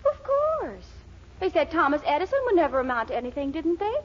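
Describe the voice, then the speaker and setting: Very high-voiced young American sweetheart. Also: female voice, sing-song, brisk. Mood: indignant, urging. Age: young adult. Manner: emphatic, urging. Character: spirited, pushy young sweetheart, devoted and impatient. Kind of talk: 1940s radio comedy acting. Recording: dull, faintly noisy 1940s radio recording.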